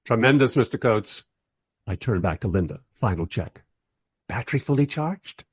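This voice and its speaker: A husky, mid-pitched voice for a wry documentary director, played by a man in a radio play. His intonation moves around a lot as he shifts between sardonic narration and smooth placating talk. The sound is close and dry. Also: animated, moderate pace, no room sound. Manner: conversational, dryly sardonic, diplomatic. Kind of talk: first-person radio play narration and dialogue.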